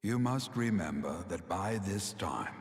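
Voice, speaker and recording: An actor playing a grave, weary elder sage in a fantasy game, speaking in a stately, deliberate, theatrical way. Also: male voice, deep voice, lively intonation. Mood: solemn. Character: grave, weary elder male sage recounting his downfall. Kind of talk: solemn fantasy game cutscene acting. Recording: long cavernous reverb.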